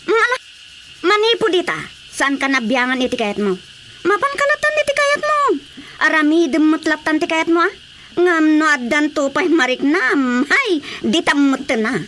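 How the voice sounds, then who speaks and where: A woman's voice: high-pitched, sing-song, normal speed, fairly bright tone. A woman with an Ilocano accent playing an anxious, nagging woman in a radio drama. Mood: angry, sarcastic. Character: anxious, scolding woman fussing over an ailing drinker. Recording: faint background noise.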